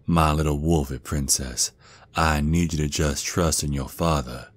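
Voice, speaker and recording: A man playing a protective werewolf father and gruff sergeant with a Southern US accent, in an ASMR roleplay with a folksy drawl. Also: extremely low pitch, steady tone, no room sound. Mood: tender, concerned. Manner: doting, folksy, slow drawl with pet names.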